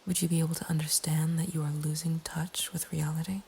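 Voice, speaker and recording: A woman narrating a scary story in a breathy, hushed ASMR whisper, slow and deliberate. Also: low voice, little pitch movement, a little hiss or hum. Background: nature ambience.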